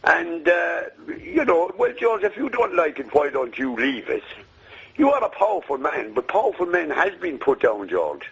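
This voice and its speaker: Rambling Irish caller from Limerick. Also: male voice, a little high, sing-song, moderate pace, gravelly, fairly bright tone, slightly rough, low-quality recording. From Ireland. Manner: rambling, says the host's name often. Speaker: radio caller. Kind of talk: phone-in call. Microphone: phone.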